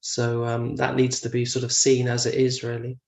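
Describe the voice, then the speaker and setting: A man in a casual discussion of Buddhist teaching, talking hesitantly with fillers and soft laughs over a video call. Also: medium pitch, completely flat delivery, normal speed, creaky, roomy sound. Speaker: discussion participant.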